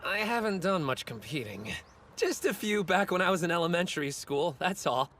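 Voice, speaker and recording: A man's voice: high voice, very animated, moderate pace, a little hiss or hum. A man voicing a short, stubborn teenage sumo wrestler in an English anime dub, earnest and blunt. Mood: calm. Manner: earnest, blunt, stern about respect. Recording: dub with loud music score.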